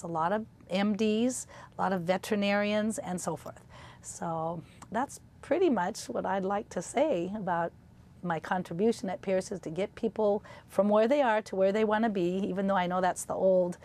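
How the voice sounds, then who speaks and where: A woman's voice: medium pitch, darkish tone, slight background noise. An older woman, a college instructor, introducing herself and her work informally. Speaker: college biology and anatomy instructor. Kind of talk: informal self-introduction to camera.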